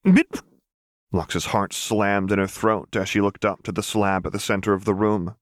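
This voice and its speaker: A man with a low-pitched voice narrates fiction in an audiobook style. The reading is scripted, and the sound is dry with no room to it. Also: level tone, normal speed, no echo.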